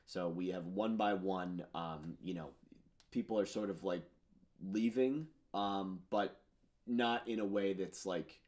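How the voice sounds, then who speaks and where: Casting note: man, thirties, lowish voice, rambling, filler-heavy musician chatting about his record, home studio. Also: takes it slowly, darkish tone. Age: 30s. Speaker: musician discussing his album. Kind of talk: casual commentary on his own songs. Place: home studio with purple lighting.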